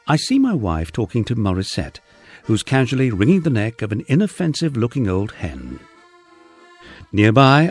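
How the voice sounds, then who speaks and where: A man with a husky voice reading a wry first-person memoir as scripted audiobook narration, dryly humorous and wordy. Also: mid-range voice, darkish tone.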